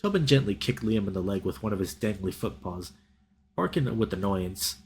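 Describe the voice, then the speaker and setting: A young man doing a playful, expressive let's play, reading a visual novel aloud and bantering with his chat. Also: lowish voice, normal speed. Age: young adult.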